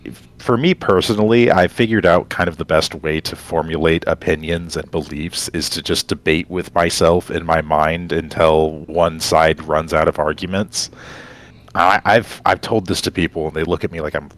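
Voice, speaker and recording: A man talking casually and reflectively as a co-host, rambling and halting as he works out his thoughts. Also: rumbling low, fairly even intonation. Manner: halting, rambling, thinks aloud. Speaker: podcast co-host.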